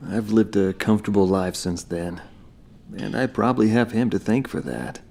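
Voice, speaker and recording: An actor playing a weary former fighter pilot who quietly recalls a battle. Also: male voice, lowish voice, moderate pace, creaky, a little hiss or hum. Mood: somber. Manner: quiet, somber, reflective. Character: weary older male ex-pilot telling his war memories. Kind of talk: interview-style recollection in a game cutscene.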